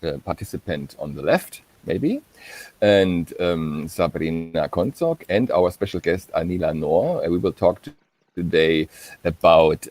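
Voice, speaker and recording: A man's voice: sits low, darkish tone, no echo. A man hosting an informal video-call panel, talking hesitantly with many ums and uhs. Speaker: panel host. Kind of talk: informal online panel talk.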